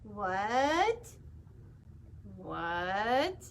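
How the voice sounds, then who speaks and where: A woman's voice: medium pitch, sing-song, extremely slow, creaky, slight background noise, pitched below the performer's own voice, full character voice. A woman voicing a stubborn young male pigeon who wheedles and bargains to stay up late. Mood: pleading. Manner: wheedling, pleading, loud bargaining.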